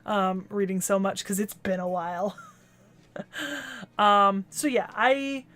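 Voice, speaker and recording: A woman's voice: medium pitch, fairly bright tone. A woman streamer who is losing her voice, wrapping up in a tired, rambling way with many fillers.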